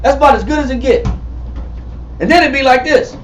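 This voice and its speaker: A man in his forties teaching a Bible study, emphatic and repetitive, calling on readers and building to shouts. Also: very high voice, a little fast, a little hiss or hum. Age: 40s. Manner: emphatic, repetitive, calls for readings, rising to shouts. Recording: far-off echoey room, muffled and lo-fi.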